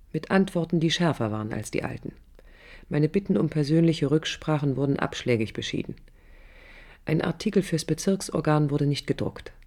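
A husky-voiced young woman architect speaks in as if confessing her life in a radio play. She is passionate and defiant, expressive in her phrasing, and often sinks into breathy, intimate murmurs. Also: low voice. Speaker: lead actress and narrator. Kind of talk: German radio drama, introspective first-person narration. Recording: 1980s radio play production.

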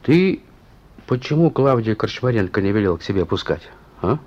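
A man's voice: fairly low pitch, big pitch swings, normal speed, darkish tone. A male actor playing a stern but fatherly police chief in a radio play, firm and colloquial, on a muffled recording. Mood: admonishing. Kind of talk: Russian radio play acting.

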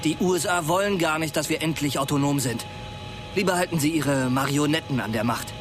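An actor playing a fervent, unbending patriot in a radio drama, arguing insistently. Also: male voice, a little high, a little fast, background noise, lightly acted. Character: fervent male patriot aircrew member, conspiratorial, unbending. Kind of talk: naturalistic German radio drama acting. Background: loud music under the dialogue.

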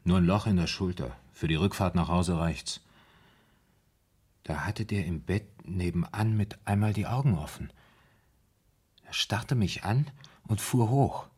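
A man's voice: low voice, measured pace, pauses often, darkish tone, breathy edge. An actor playing a troubled, deferential ex-soldier in a radio play, earnestly recounting his story. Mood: reflective, earnest. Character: troubled, deferential red-haired ex-soldier recounting strange memories.

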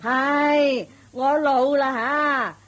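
A woman's voice: sits high, fairly even intonation, drawn-out words, a bit bright, full character voice. A woman playing a haughty, domineering rich matriarch and mother-in-law in a radio drama, scolding and mocking. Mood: scornful. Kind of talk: Cantonese radio drama acting.

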